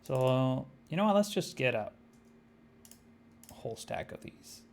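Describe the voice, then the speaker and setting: A young man with an Argentine Spanish accent talks through a game on a live stream, relaxed and full of fillers as he thinks aloud. His voice has a gravelly edge, and his pitch sits at an ordinary middle level for a man. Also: a little slow, slight background noise. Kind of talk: live-streamed gameplay chat.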